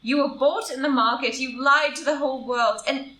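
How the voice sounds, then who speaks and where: High, sharp, impassioned wife played by an actress. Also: female voice, normal speed. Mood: anguished reproach. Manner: declamatory, impassioned. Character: wronged, idealistic English society wife, bitterly heartbroken. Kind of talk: stage-style audio drama acting. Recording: roomy, distant live reading with noise.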